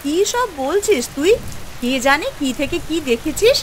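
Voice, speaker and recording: A woman voicing a poor village wife and mother in a cartoon, fretful but tender, with an expressive, homely delivery. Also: high voice, moderate pace, a little hiss or hum. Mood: impatient. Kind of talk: cartoon voice acting.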